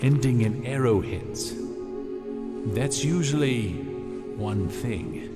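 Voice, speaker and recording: A man playing a hesitant, thoughtful scholar of magic in an audio drama, speaking haltingly and carefully. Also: mid-range voice, lively intonation, big-room sound, over loud music. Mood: pensive, uneasy.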